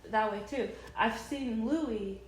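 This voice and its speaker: An enthusiastic woman in her thirties talks in a casual vlog. She rambles, restarts her sentences and fills pauses as she goes. Her voice sits a little high, and the room echoes around her with a faint ambient hum. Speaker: vlogger.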